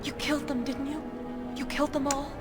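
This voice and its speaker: An actress playing a frightened, traumatized young elf woman in a fantasy video game, fragile and halting. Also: high voice, level tone, over loud music, close to an everyday voice. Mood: shaken.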